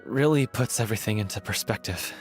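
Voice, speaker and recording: A man voicing a brooding, grieving troupe member in a video game, with a terse, heavy, halting delivery. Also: fairly low pitch, faint music behind, lightly acted. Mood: subdued, chastened.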